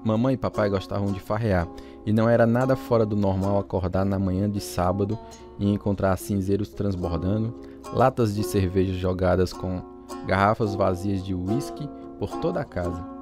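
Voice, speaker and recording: A man's voice: lowish voice, steady tone, moderate pace, lightly acted. An actor with a Brazilian accent playing a grown man who narrates his troubled childhood in first person. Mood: reflective. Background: guitar music.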